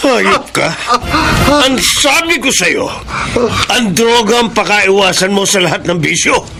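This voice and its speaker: An actor playing a middle-aged, hot-tempered father who leads a slum gang, with a gravelly voice and a harsh, commanding manner in a radio drama. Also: high voice, animated, measured pace, heavily acted. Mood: enraged. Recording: drama with loud music score. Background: music.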